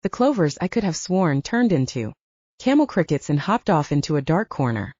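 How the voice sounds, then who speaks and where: A woman narrates first-person fiction in a mellow, slightly low voice. Her intonation is animated throughout. The recording is dry and a little dull. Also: moderate pace, no room sound. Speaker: audiobook narrator.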